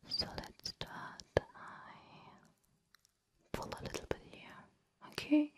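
Woman in a slow, soothing whisper. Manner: soft, slow, reassuring, with gentle repetition. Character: kind, caring alien examining a visitor aboard a spaceship. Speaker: ASMR performer. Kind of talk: whispered ASMR roleplay as an examiner.

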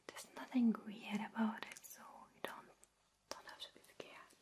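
A woman who is not a native English speaker, whispering softly and reassuringly in an ASMR roleplay as a gentle, friendly alien, with halting phrasing.

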